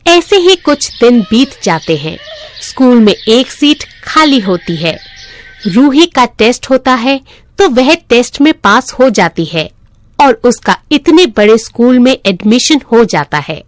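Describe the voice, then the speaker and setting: A woman's voice: on the high side, very animated, unhurried, no echo. A woman narrating a scripted moral story for children as a storyteller.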